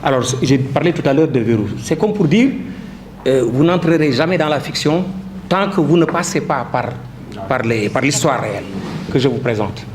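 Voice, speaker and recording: A man, a professor acting as moderator, presenting a book in a halting way full of fillers and restarts. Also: medium pitch, animated. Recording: noisy room with audience chatter.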